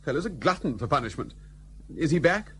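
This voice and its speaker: An actor playing a jovial, boisterous English colonial chap in a period radio play, breezy and full of old-fashioned upper-class slang. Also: male voice, fairly high pitch, very animated, a little fast, slight background noise. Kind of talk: period radio drama acting.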